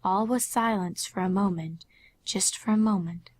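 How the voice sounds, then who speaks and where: A woman's voice: medium pitch, moderate pace, a little mellow, no echo. A woman reading fan fiction aloud as a scripted story narrator.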